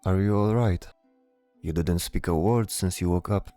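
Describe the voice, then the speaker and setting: A man acts out a leading knight of a fantasy audio drama, deep-voiced and dark in tone. He is calm and considerate, with measured, commanding delivery. The words ring with echo. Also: soft background music, close to an everyday voice. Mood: concerned.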